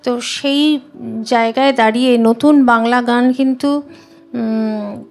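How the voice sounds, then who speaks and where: High-voiced woman, earnest. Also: steady tone, takes it slowly, darkish tone. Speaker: singer, interview guest. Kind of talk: conversational interview answers.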